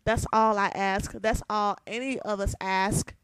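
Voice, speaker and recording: A young woman with a raspy voice, ranting heatedly and emphatically in a vlog, repeating her points. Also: mid-range voice, steady tone, measured pace, a bit bright, dry recording. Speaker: vlogger. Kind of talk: ranting to camera.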